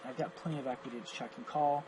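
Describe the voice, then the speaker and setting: Hoarse man breaking down poker hands. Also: mid-range voice, fairly even intonation, quick pace, noisy background. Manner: analytical, self-critical, thinks aloud with fillers. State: hoarse, lost voice from coughing. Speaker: poker coach reviewing his own match. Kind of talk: screen-recorded hand review commentary.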